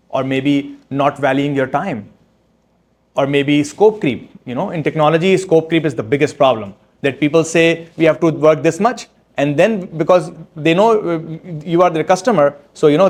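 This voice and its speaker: A man speaking as an author at a book launch, answering audience questions in a halting, step-by-step way with frequent restarts. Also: a little high, darkish tone. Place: event room with audience.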